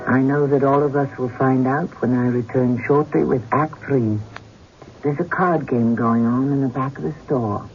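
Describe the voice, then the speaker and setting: A man's voice: mid-range voice, fairly even intonation, moderate pace, slight background noise. A man narrating a scripted radio drama as host in a theatrical, suspenseful manner, on a muffled broadcast with music behind.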